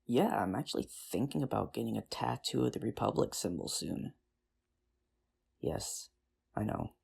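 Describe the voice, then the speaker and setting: A performer in an ASMR roleplay playing a nerdy, earnest high school teacher, rambling with hesitant, self-deprecating charm in an intimate, conversational way. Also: animated, moderate pace, vocal fry, darkish tone, breathy edge, exaggerated voice. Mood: playful, friendly.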